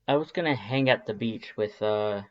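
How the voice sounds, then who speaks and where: A man's voice: lowish voice, moderate pace, muffled recording, lightly acted. A man voicing a laid-back, sardonic male skeleton in an audio drama, with casual, dry, slangy speech. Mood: awkward, exasperated. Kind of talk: fan fiction audio drama acting.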